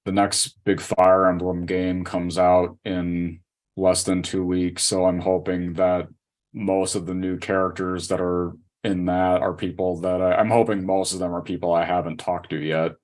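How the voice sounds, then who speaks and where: A man in a casual podcast chat, explaining things in a halting way. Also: sits low, level tone, darkish tone.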